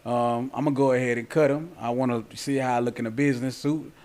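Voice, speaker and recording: A man's voice: medium pitch, fairly even intonation, quick pace, darkish tone. A forty-year-old man chatting casually and informally as a podcast guest. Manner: informal, conversational, repeats "you know what I'm saying". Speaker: podcast guest, cornhole player.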